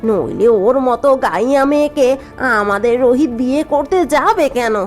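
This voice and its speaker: A woman's voice: high-pitched, moderate pace, darkish tone, faint music behind, close to an everyday voice. A woman voicing a spiteful, snobbish young housewife in a cartoon, with a mocking, gossipy way of talking. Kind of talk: cartoon voice acting.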